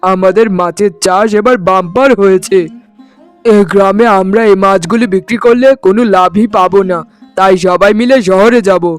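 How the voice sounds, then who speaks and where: A man's voice: high voice, close to an everyday voice. A man voicing an earnest village fish-farming brother in a cartoon family drama, talking emphatically.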